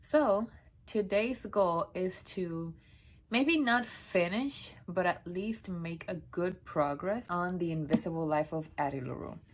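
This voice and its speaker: A woman's voice: on the low side, slow, a little hiss or hum. A young woman from Puerto Rico, a native Spanish speaker, chatting to camera from the floor of her home office, rambling and correcting herself as she goes. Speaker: book vlogger. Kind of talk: casual talk to camera.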